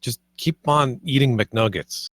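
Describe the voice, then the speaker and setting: A man's voice: mid-range voice, a little slow, fairly bright tone, no echo. A man voicing a casual, slangy, annoyed young slacker in a comedy script table read. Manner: laid-back, bro-like.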